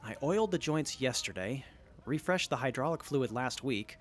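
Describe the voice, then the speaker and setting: A man's voice: mid-range voice, expressive, moderate pace, lightly acted. A man playing a polite, anxious and meticulous infantry soldier in an audio drama, speaking precisely. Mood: polite, fussy.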